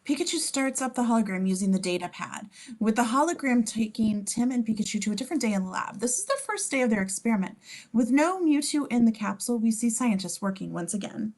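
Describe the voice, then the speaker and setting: A woman's voice: a little high, expressive, quick pace, darkish tone. A woman narrating as scene description reader, reading screenplay stage directions aloud at a table read.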